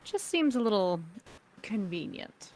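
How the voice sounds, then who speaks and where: A woman playing a cool, suspicious crime syndicate boss, speaking formally and with measured skepticism in a sci-fi table read. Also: medium pitch, big pitch swings, darkish tone, slight background noise. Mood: probing, skeptical.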